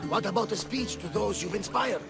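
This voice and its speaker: Casting: loyal old seafarer captain, raised creaky voice, hearty and fondly scolding, game cinematic. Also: male voice, sits high, fairly quick, music in the background. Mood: fond encouraging. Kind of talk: video game cutscene acting.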